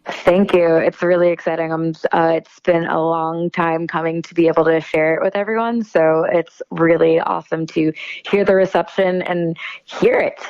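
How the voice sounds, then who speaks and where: A woman with a low voice talks casually as an interview guest. The sound is dry and a little dull, like a lo-fi call. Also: fairly even intonation, normal speed, a little mellow, no room sound. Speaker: interview guest, company spokesperson.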